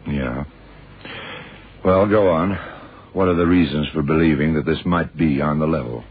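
An actor playing a calm, shrewd blind detective, speaking tersely in a vintage radio crime drama. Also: male voice, very deep voice, normal speed, slightly rough, heavily acted. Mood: cool, probing. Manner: terse, laid-back questioning. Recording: muffled old radio recording, faint hiss.

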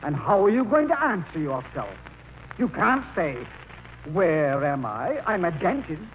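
An actor playing a pompous older husband who philosophizes in grand, precise words, 1940s radio drama style. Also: male voice, sits high, very animated, a little slow. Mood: lofty, lecturing. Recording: muffled, faintly noisy vintage radio recording.

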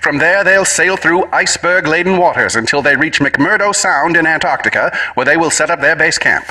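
A man playing a 1930s radio news announcer who reads bulletins in a brisk, formal manner. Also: a little high, sharp tone, rough recording.

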